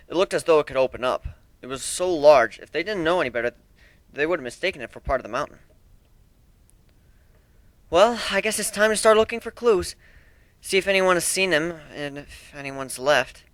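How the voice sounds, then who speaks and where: A man's voice: medium pitch, fairly bright tone, clean tone, dry recording. A man narrating fan fiction as a dramatic reading of a scripted story.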